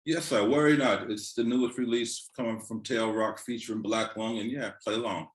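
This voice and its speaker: A man's voice: medium pitch, fairly even intonation, a little fast, reverberant. A man from California, a reggae artist, talking earnestly in an interview and often repeating words as he gathers his thoughts. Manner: earnest, repeats words while searching for them. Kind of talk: casual interview.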